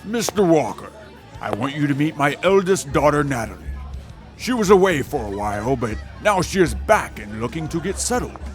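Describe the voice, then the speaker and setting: A man's voice: a little high, sing-song, normal speed, soft background music. An actor playing a scheming, status-hungry middle-aged father in a melodramatic audio drama, speaking formally.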